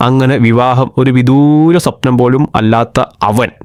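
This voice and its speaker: A bossy young schemer, played by a man, lectures his friends in a Kerala accent. His voice is smooth and resonant, and it sounds close and dry. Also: mid-range voice, fairly even intonation, a little slow, a little mellow, no room sound, lightly acted.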